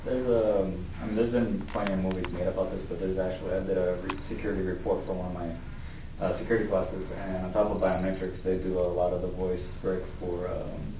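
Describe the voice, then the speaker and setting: A man's voice: deep voice, level tone, a little fast, few pauses. A man in a focus group, talking in a rambling way and restarting his sentences. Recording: roomy, distant, noisy room mic.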